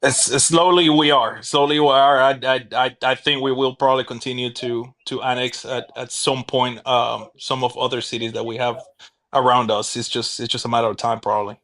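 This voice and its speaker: A man's voice: medium pitch, flat delivery, normal speed, a bit bright. Man in his 40s, from Guatemala, fire chief guest, earnest and plain, mid-range voice. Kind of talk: casual interview.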